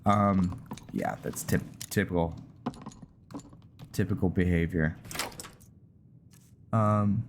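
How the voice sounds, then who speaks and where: A low-pitched man talks through his roleplay playthrough in a casual, halting way. He sounds like a gaming channel host at his desk, with faint noise behind him. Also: fairly even intonation. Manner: hesitant, halting delivery. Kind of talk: casual gameplay roleplay commentary, reading game notes aloud.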